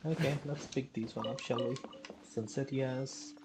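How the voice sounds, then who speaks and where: A woman's voice: very deep voice, fairly even intonation, a little slow, background noise. A woman streaming a game live, chatting and thinking aloud with fillers as she plays. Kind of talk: live gameplay commentary.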